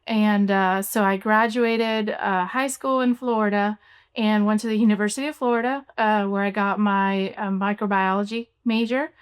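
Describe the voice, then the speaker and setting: A young adult woman from Cuba, an orthodontist, answering interview questions in a relaxed way with many fillers. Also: mid-range voice, normal speed, smooth. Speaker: orthodontist guest.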